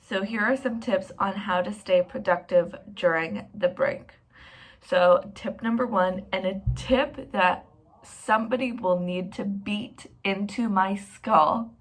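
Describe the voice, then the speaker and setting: A woman's voice: medium pitch, fairly bright tone. Chatty young woman vlogging. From Canada. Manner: chatty and rambling, self-mocking. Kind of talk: casual vlog talk to camera.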